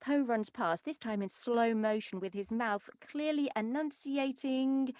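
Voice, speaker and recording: A woman's voice: on the high side, a little slow, darkish tone, no echo, studio quality. A woman reading stage directions as scripted narration at an animation table read.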